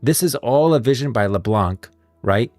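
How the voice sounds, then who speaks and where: A man's voice: mid-range voice, animated, normal speed, darkish tone, no room sound, faint music behind. A man streaming casual live commentary on game lore, rambling and repeating phrases as he reasons aloud.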